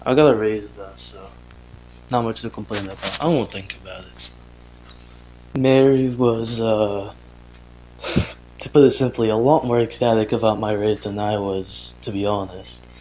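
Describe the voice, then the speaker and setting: Low-voiced, halting young father played by a man. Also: steady tone, a little mellow, low-quality recording. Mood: thoughtful, content. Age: young adult. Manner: halting, hesitant, sighing, self-interrupting. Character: earnest young father keeping a troubled audio diary. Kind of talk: analog horror audio drama, confessional voice log.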